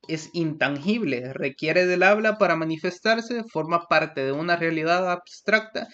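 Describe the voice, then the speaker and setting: Young man, Salvadoran Spanish accent, student presentation, frequent "eh" fillers, somewhat high voice. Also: a bit bright. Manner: explains from notes, with frequent fillers and restarts. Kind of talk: class presentation. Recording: lo-fi muffled recording.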